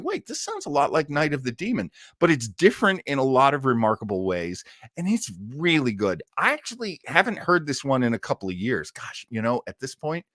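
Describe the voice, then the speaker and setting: A man hosting an old time radio livestream in a casual, chatty, enthusiastic way, often rambling and pausing to search for words. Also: mid-range voice, a bit bright, no room sound, clean studio sound.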